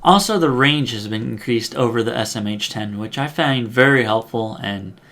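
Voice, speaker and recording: A man's voice: mid-range voice, moderate pace, a bit bright. A young man giving an informal, enthusiastic hands-on product review, repeating words for emphasis as he goes. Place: indoors, small room.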